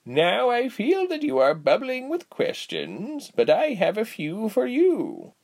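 A man voicing a cheerful, giggly elderly village healer woman in a storybook, with a slow, kindly teasing delivery. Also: sits high, wildly swooping pitch, pitched above the performer's own voice, heavily acted. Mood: curious, teasing. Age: older. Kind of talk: storybook character voice.

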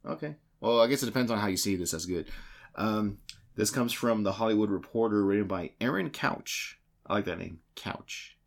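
A man co-hosting a casual podcast from a home game room, with a slightly raspy voice recovering from being lost, rambling and repeating words in a jokey way. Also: on the low side, normal speed. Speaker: podcast co-host introducing the show.

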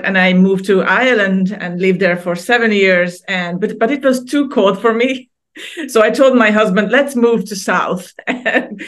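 A woman's voice: mid-range voice, a bit bright. A middle-aged woman from Hungary, a podcast guest who chats, laughs often and restarts her sentences. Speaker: guest artist. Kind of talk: casual interview conversation.

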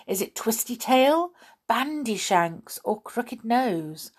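A woman's voice: a little high, expressive, slow, husky, pitched above the performer's own voice, full character voice. A woman voicing a worried but crafty queen in a children's fairy tale, drawing out coaxing questions. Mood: guessing.

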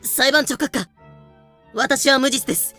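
A woman playing a cheeky, excitable young character in an audio drama, theatrical and playful. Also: sits high, a little slow, faint music behind, heavily acted. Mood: defiant. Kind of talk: Japanese audio drama acting.

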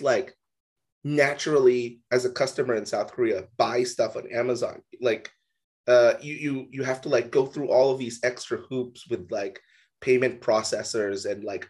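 A man co-hosting a casual business discussion, talking loosely with many "like"s. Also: mid-range voice. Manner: casual, heavy use of "like".

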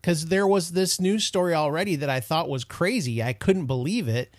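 A young man from Detroit hosting a casual podcast chat, excitable and laughing as he tells stories. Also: a little high, moderate pace, no echo, studio quality. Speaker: podcast host.

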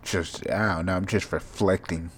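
A sleepy young man with a monotone, mumbly voice, hosting a rambling, profane solo podcast. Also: low voice, moderate pace, breathy edge. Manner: casual, profane, drifting from thought to thought. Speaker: podcast host.

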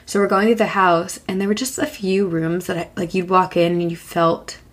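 Woman, podcast co-host, hoarse, rambling casual chat, low-ish pitch. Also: level tone, normal speed. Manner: chatty, many fillers and restarts. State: hoarse from a cough.

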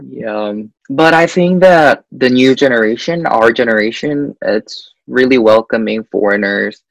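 A man's voice: medium pitch, unhurried, vocal fry, no echo, poor audio. A young man from Guatemala, a guest in a casual interview, who talks hesitantly with frequent "eh" fillers. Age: young adult.

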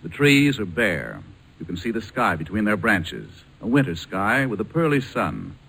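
An American man announcing on 1940s radio, reading scripted commercial narration in a measured, lyrical manner. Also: on the low side, huge pitch swings, gravelly, bright, forceful tone, slightly rough, low-quality recording. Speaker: radio announcer and sponsor spokesman.

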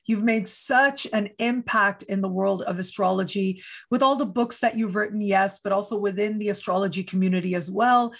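An effusive woman hosts an online interview, her voice sitting at an ordinary middle pitch. She gushes over her guest and promotes the show with upbeat enthusiasm. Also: moderate pace, vocal fry, hardly any pauses. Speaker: host.

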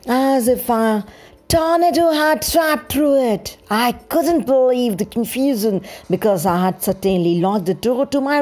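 A woman reading a scripted first-person story in a deliberate, dramatized storytelling manner. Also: a little high, darkish tone. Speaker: storyteller, podcast host.

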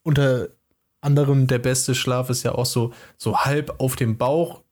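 A man's voice: mid-range voice, fairly even intonation, measured pace, a little mellow. A man chatting casually as a podcast co-host, laid-back and hesitant, with many fillers. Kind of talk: casual chat.

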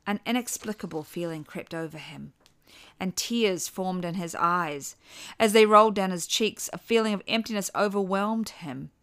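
A woman's voice: mid-range voice, moderate pace, a bit bright, clear, resonant tone. A woman reading a scripted dramatic story as its narrator. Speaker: author and narrator.

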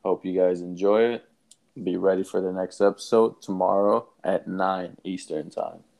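A young man from Afghanistan co-hosting a casual podcast in an easygoing, even manner. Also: low-pitched, normal speed, creaky. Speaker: podcast co-host.

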